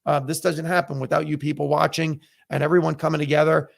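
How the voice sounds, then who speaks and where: Middle-aged male host, slightly high voice with a gravelly edge, casual and candid, lots of um's. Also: flat delivery, quick pace. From United States. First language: Italian. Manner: conversational, frequent fillers, candid opinions. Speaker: comedian and podcast host. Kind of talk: casual live-stream chat.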